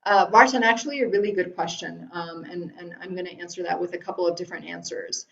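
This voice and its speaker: A woman's voice: lowish voice, steady tone, quick pace, vocal fry. A middle-aged woman from Iran talking casually in a live webinar from home, answering viewer questions with many ums and restarts. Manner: chatty, frequent ums and restarts. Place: room at home. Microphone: video call. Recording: roomy, dull lo-fi video call audio.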